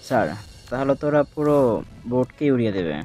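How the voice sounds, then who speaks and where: Male actor as jittery deferential gangster sidekick, rapid speech, medium pitch, background music. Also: animated, a little mellow, close to an everyday voice. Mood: nervous. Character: nervous male gang henchman, deferential.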